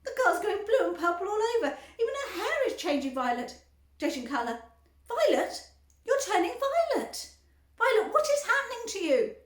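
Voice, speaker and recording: A woman's voice: very high-pitched, very animated, moderate pace, voice pushed up. A woman voicing a frantic middle-aged mother who shrieks in alarm, read aloud from a storybook. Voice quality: shrieking, piercing. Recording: echoey room.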